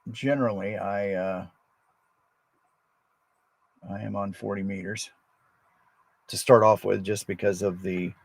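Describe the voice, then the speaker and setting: An American man hosting a casual, unscripted livestream as an amateur radio operator, rambling in a relaxed way. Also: fairly low pitch, measured pace, vocal fry, pauses often, slightly rough, dry recording. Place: outdoors at a state forest park.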